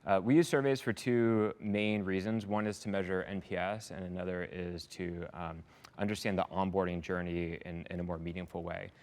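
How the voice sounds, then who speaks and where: A man giving polished, upbeat answers in a business interview, speaking as a software company executive. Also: deep voice, fairly even intonation, moderate pace. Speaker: head of sales, success and support at a software company, interviewed as a customer.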